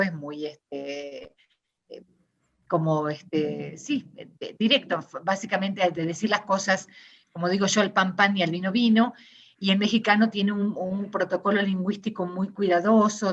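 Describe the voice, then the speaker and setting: Argentine woman, low muffled voice. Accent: Argentine Spanish. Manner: thoughtful, many fillers and self-restarts. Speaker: interviewee, discourse analysis scholar. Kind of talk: reflective conversational interview.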